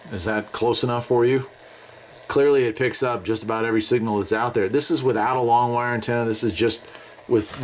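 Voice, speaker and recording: Low-voiced American man, folksy gadget reviewer. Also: slight background noise. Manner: folksy, conversational, thinking aloud. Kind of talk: casual hands-on gadget review.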